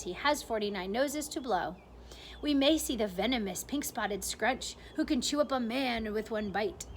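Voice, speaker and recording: A woman's voice: on the high side, a little hiss or hum, natural voice. A woman doing a giddy grinning centipede. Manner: sing-song rhyming recital, lively. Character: excitable boastful male centipede, grinning.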